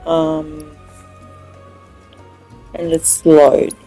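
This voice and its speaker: A gaming host giving casual, low-key let's play commentary, thinking aloud. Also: level tone, very slow, dark tone, soft background music.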